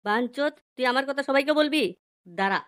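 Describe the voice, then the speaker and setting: A woman voicing a crude, bullying, hard-drinking village husband in an amateur comedy cartoon, with a coarse blustering manner and artificially raised pitch. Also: lively intonation, a little fast, noisy background. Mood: belligerent.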